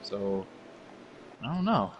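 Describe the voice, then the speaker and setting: A man's voice: fairly low pitch, very animated, takes it slowly, muffled recording. Man musing on a noisy stream. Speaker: race co-commentator. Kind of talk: casual sim racing commentary.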